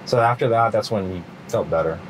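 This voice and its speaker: A middle-aged man answering interview questions in a relaxed, rambling way, full of fillers and restarts. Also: on the low side, a little fast, slight background noise, low-quality recording. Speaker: interview guest. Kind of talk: casual interview.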